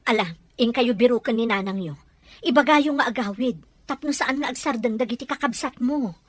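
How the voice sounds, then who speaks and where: Woman acting a concerned older aunt, measured, lower voice. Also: no room sound, lightly acted. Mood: advising. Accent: Ilocano. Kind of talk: Ilocano audio drama acting.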